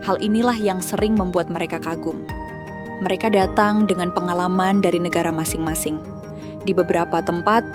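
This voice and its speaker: A woman reading a scripted documentary narration in a calm, measured way. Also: fairly low pitch. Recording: music bed underneath.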